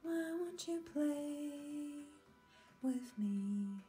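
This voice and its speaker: A woman singing a slow, playful song with music behind her. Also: high voice, expressive, dark tone.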